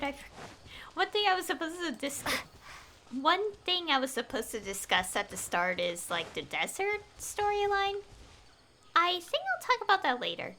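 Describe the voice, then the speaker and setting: A woman streams a game with casual, hesitant commentary. Her voice is high and bright, with lively pitch movement, as she thinks aloud. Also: moderate pace, a little hiss or hum.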